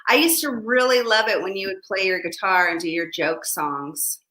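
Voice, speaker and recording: A woman's voice: mid-range voice, normal speed, bright, forceful tone. A woman hosting a casual live chat, chatty and swearing casually. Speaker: host.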